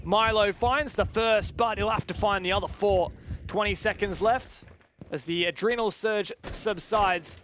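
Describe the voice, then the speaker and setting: High-pitched man calling esports play-by-play. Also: measured pace, a bit bright, slight background noise. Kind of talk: live esports play-by-play commentary.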